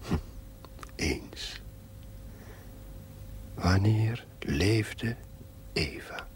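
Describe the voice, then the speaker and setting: A man acting a brooding thinker in a television drama, musing to himself in a hushed, halting monologue. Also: on the low side, lively intonation, a little hiss or hum. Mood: pensive, questioning. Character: brooding, pondering man musing alone on mankind's origins.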